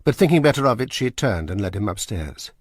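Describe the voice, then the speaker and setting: A man narrating a drama in a measured storytelling voice. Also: fairly low pitch, very animated, noisy background. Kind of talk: scripted narration.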